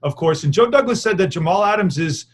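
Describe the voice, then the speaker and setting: Higher-voiced man hosting casual sports talk. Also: lively intonation, fast talker. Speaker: sports radio host, panelist.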